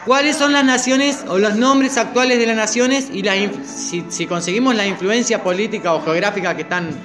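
A man's voice: high voice, normal speed, music in the background. An older man with a Rioplatense Spanish accent, leading a Bible study group and its spoken prayer in an earnest, questioning way.

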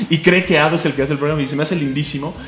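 A man's voice: fairly high pitch, fairly even intonation, fairly bright tone, echoey room, a little hiss or hum. A man with a Mexican accent hosting a comic radio show, joking and enthusiastic. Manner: fast, joking, enthusiastic, laughs often. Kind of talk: casual radio chat.

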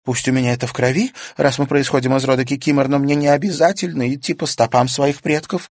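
A male audiobook reader voicing an anxious, lovesick young female student, his voice pushed high and earnest. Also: steady tone, fast talker, no room sound, studio quality, close to an everyday voice.

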